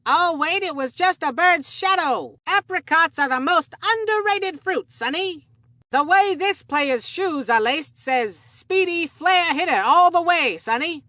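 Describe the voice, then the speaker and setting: An actor voicing an excitable, absent-minded kids' baseball game commentator who rambles in an earnest, folksy way. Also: extremely high pitch, measured pace, no room sound, clean studio sound, full character voice. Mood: upbeat, folksy. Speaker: sports commentator. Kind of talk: cartoon video game sports commentary.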